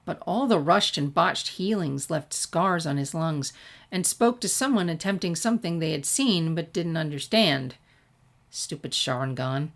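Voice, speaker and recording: A woman narrates fiction in a slightly low voice. She reads scripted prose as a storyteller would for an audiobook listener. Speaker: audiobook-style reader of fan fiction.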